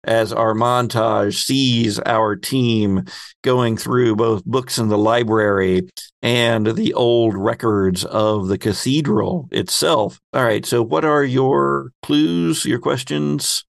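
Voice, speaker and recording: A man with a gravelly, mid-pitched voice acts as game master, talking players through the rules and the scene. His speech is unscripted and conversational. The sound is dry and close. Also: takes it slowly, no echo. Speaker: game master and host of a tabletop role-playing show.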